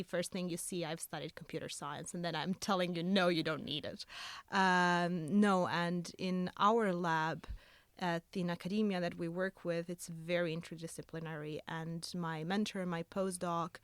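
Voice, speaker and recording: Young woman, Croatian accent, smooth resonant tone, eager researcher guest, dry recording. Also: on the low side, dry recording. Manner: earnest, eager, slightly broken English phrasing. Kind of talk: casual interview talk.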